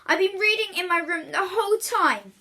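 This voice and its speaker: Woman as a high, pleading little boy. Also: normal speed, pushed and bright, full character voice. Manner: pleading, protesting. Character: innocent put-upon young boy, meekly protesting.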